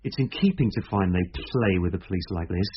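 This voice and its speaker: An actor playing a brisk, sceptical police inspector in a radio drama, direct and authoritative in manner. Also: male voice, deep voice, natural voice. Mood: reasoning, confident. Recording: dull, faintly noisy radio drama recording.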